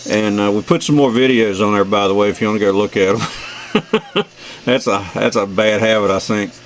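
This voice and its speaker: A man's voice: lowish voice, steady tone, normal speed, lo-fi sound. An older American man chatting casually on amateur radio in a folksy, rambling way. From United States. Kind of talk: casual chat over the radio. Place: at a home base radio station. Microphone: desk radio microphone in the room.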